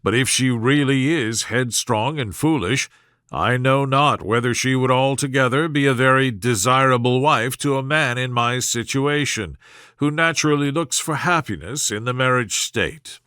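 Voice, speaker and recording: A man's voice: mid-range voice, moderate pace, bright, forceful tone, clean tone, no echo, clean studio sound, lightly acted. A man voicing a pompous, self-important suitor from a classic novel, speaking in wordy, formal phrases. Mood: affronted pomposity. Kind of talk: storybook character voice in classic novel reading.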